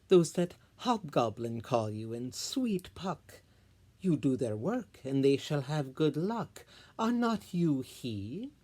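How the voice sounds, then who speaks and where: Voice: mid-range voice, very animated, takes it slowly, mellow. A solo reader voicing a nimble fairy servant in lilting, theatrical Shakespearean verse. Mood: curious, teasing.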